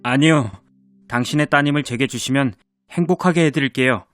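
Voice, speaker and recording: A woman's voice: very deep voice, a little fast, faint music behind, pitched below the performer's own voice. A woman voicing a humble, earnest young man posing as a prince in a cartoon story.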